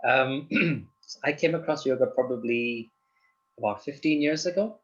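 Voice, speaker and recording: A man from Zimbabwe, a guest on a chat show, answering in a calm, explanatory way and often checking in with the listener. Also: medium pitch, measured pace, dull audio. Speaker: guest, doctor and yoga teacher. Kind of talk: interview answers.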